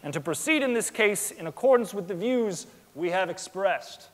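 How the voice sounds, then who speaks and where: A young male actor plays a formal, insistent man of law in a courtroom stage drama. His high voice moves expressively and echoes through the hall. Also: lively intonation, moderate pace. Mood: solemn, declarative. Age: young adult. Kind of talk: theatrical courtroom reading.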